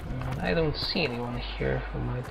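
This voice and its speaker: A man's voice: medium pitch, moderate pace, creaky. Rambling male gamer over game music. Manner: rambling, thinking aloud. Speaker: video game streamer. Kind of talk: casual let's-play commentary.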